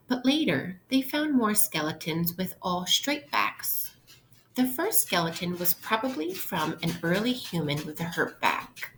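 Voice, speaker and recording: A woman with a slightly low voice reads aloud as a teacher would to young children. She takes her time, speaking very slowly and explaining in simple, instructional language. There is faint noise behind her. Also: a bit bright, poor audio. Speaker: elementary school teacher. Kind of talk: interactive read-aloud lesson for children.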